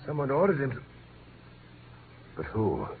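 An actor playing an uneasy, defensive doctor with emphatic speech, in 1950s radio drama style. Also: male voice, mid-range voice, wildly swooping pitch, measured pace. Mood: flustered. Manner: stammering, emphatic. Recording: noisy, tinny 1950s radio recording.

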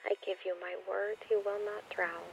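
A woman's voice: mid-range voice, level tone, normal speed, vocal fry, dull audio, close to an everyday voice. An actress in a radio play playing an ancient foremother's spirit, speaking in a solemn, incantatory, reassuring way. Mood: tender, reassuring. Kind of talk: poetic radio play monologue. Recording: thin band-limited radio sound, music behind.

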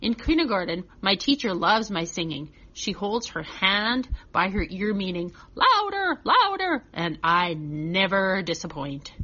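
A woman's voice: medium pitch, unhurried, hardly any pauses, highs cut off, exaggerated voice. Woman as a chatty, restless little boy. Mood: earnest, chatty. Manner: childlike, earnest, expressive. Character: chatty, restless six-year-old boy in church. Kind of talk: first-person children's story reading.

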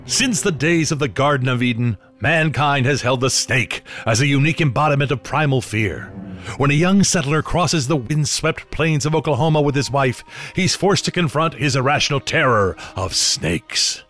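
A man's voice: medium pitch, normal speed, fairly bright tone, close to an everyday voice. An actor playing a theatrical, earnest male host of an old-time radio show, with polished scripted delivery. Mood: ominous, dramatic. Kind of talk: 1930s radio narration and sponsor read. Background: faint music.

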